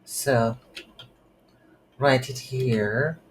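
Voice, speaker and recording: A tutorial instructor thinking aloud in a halting, unscripted way while walking through a screen-recorded coding lesson. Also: mid-range voice, steady tone. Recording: light background noise.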